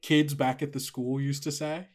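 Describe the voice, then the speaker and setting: A man's voice: mid-range voice, level tone, moderate pace. A man running a tabletop game, improvising narration and thinking aloud in a conversational way. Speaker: game master.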